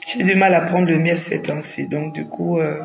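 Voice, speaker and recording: A woman's voice: sits low, fairly even intonation, normal speed, creaky, roomy sound, soft background music. A tired woman with the flu hosting a casual live stream, greeting viewers one by one and repeating her welcomes.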